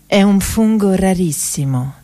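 A woman's voice: mid-range voice, lively intonation, a little slow, dark tone. Female chef for radio theatre played by a woman: proud, natural medium voice, confiding explanations. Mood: confiding, concerned. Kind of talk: comic radio theatre dialogue.

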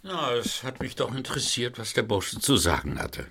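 An actor playing a gruff, unflappable police inspector in a radio drama, brisk and dryly humorous. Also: male voice, mid-range voice, very animated, sharp tone, slight background noise. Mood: dry, unruffled.

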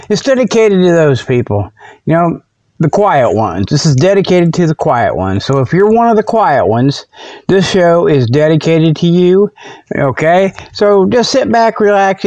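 A man's voice: fairly high pitch, darkish tone, no echo. A man hosting a comedy report show as a folksy, rambling monologue, often backtracking and repeating himself.

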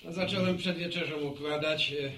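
A man's voice: on the high side, steady tone, moderate pace, pushed and bright, a little hiss or hum. A male actor playing a modest, chatty village postman and storyteller in a radio drama, with a folksy, reminiscing manner. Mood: friendly, modest. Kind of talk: Polish radio serial acting.

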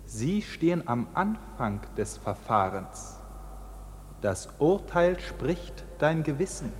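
An actor playing a stern priest of a court in a radio drama, solemn and sermonizing. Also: male voice, on the high side, big pitch swings, slow pace, a little hiss or hum. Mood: solemn, cryptic. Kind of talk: dramatic radio play acting. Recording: long church-like reverb, background ambience.

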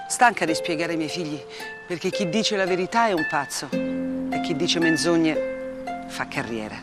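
An actress playing a weary, defiant woman journalist bearing witness in a dramatic theatre monologue, with deliberate, clipped phrases and emphatic repetition. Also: lowish voice, lightly acted. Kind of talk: dramatic theatre monologue, first-person testimony.

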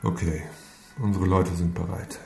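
An actor playing a sober, pragmatic police squad leader, measured and matter-of-fact, in a radio crime drama. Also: male voice, deep voice, level tone, gravelly, warm tone, echoey room, soft background music. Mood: terse, businesslike.

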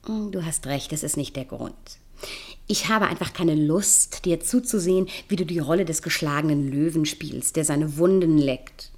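An actress playing a cool, sardonic, secretly tender Parisian woman in a German radio play, deliberate and intimate in manner. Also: low-pitched.

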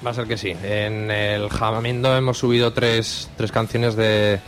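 A man's voice: on the low side, flat delivery, measured pace. A man with a Spanish accent from Spain, presenting event listings on a radio show. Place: radio studio. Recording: faint music underneath.

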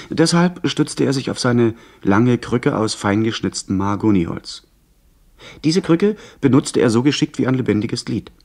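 A man's voice: lowish voice, a little mellow. An actor playing a well-bred young man who narrates and converses with polite curiosity in a radio play. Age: young adult. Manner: well-spoken, composed, politely inquisitive. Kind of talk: 1970s radio play acting with first-person narration.

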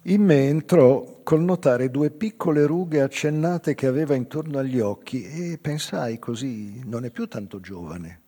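An actor playing a melancholy old whaler in a measured, reflective theatrical monologue about his life. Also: medium pitch, expressive, warm tone, breathy edge. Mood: tender nostalgia. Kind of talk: literary dramatic monologue. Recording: reverberant stage hall, guitar music behind. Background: live guitar music.

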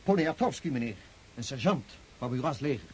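A man's voice: mid-range voice, lively intonation, brisk, a little mellow, breathy edge. An actor in a radio drama playing a dutiful soldier reporting to his officer. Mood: urgent, dutiful.

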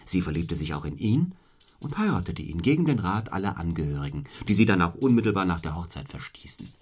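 A cold, driven clergyman from a radio drama, with a low, husky male voice. He speaks formally and deliberately, stern and rhetorical, as if every sentence were weighed. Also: big pitch swings, full character voice. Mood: measured storytelling. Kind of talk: German radio play acting.

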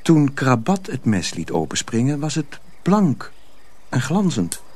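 A man's voice: mid-range voice, very animated, measured pace, darkish tone, slight background noise. A man narrating a radio play in scripted storybook style.

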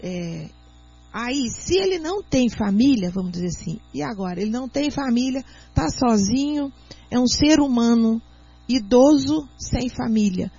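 Husky-voiced Brazilian woman, teacherly. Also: a little high, expressive, warm tone. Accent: Brazilian Portuguese. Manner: earnest, teacherly, reads aloud slowly. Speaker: guest speaker, doctor. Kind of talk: explanatory radio talk. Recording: muffled lo-fi radio audio.

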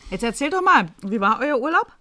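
A woman's voice: sits high, sing-song, brisk, sharp tone, full character voice. An actress playing a curious, sociable woman at dinner in a naturalistic radio drama. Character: curious, sociable female dinner companion asking questions.

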